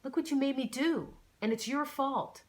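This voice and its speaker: A woman's voice: sits high, normal speed, pitched above the performer's own voice, lightly acted. A woman voicing a menacing, angry male attacker with an accusing, aggressive delivery in an audiobook.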